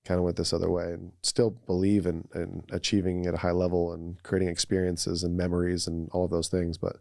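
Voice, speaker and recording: A man with a very low voice shares personal stories as a podcast guest. He talks in an earnest, reflective and conversational way. The sound is dry, with very little room. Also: completely flat delivery, brisk, gravelly, dark tone, dry recording. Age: 30s or older. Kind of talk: casual personal storytelling.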